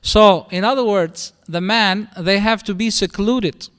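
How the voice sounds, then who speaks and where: Middle-aged Albanian-accented male imam, somewhat high and smooth-voiced, explaining patiently in a reverberant mosque hall. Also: a little slow, a bit bright. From northern Albania. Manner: explanatory, conversational teaching with restarts. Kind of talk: religious lecture.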